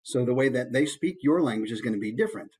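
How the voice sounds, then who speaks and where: A man's voice: mid-range voice, animated, fast talker, darkish tone, breathy edge. A man with an American accent giving an informal, conversational instructional talk as a voice actor. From United States. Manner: conversational, demonstrates as he explains.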